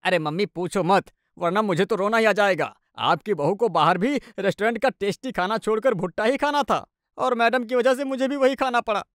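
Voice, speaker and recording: A woman voicing a young husband in an animated story, teasing and complaining but quick to give in. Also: mid-range voice, no room sound, clean studio sound, natural voice. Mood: exasperated. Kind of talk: animated Hindi moral-story dubbing.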